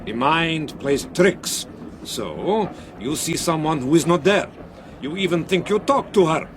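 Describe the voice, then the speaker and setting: An actor playing a patronising, soothing foreign doctor in a radio drama, with non-native phrasing. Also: male voice, a little high, lively intonation, a little slow. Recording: noisy train ambience behind voice.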